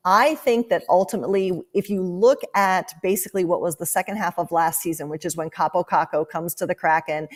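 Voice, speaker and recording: Woman, somewhat low-pitched, sports analyst guest, conversational breakdown style. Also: brisk, hardly any pauses.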